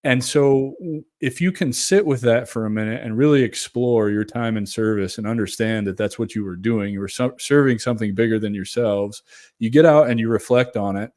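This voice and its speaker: A man's voice: on the low side, fairly quick, a little mellow, dry recording, studio quality. A man hosting an interview, reflecting at length and thinking aloud with small restarts. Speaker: podcast host. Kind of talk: conversational interview.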